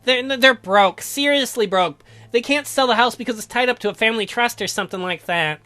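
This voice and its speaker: A woman's voice: fairly high pitch, a little fast, sharp tone, soft background music. A woman voicing a nervous, eager young man in a visual novel, hesitant, groggy and stammering. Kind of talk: visual novel read aloud in character.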